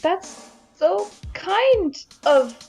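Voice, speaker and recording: Girl doing meek young lady defendant from a game, super high voice, polite and kinda resigned. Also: big pitch swings, extremely slow, darkish tone, soft background music, heavily acted. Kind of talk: live group voice-over of a visual novel game.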